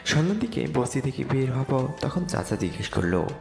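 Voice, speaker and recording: A man's voice: medium pitch, very animated, brisk, gravelly, a little mellow. A young man narrating a dramatised story in the first person. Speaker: narrator and lead voice actor. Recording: echoey reverb with music behind.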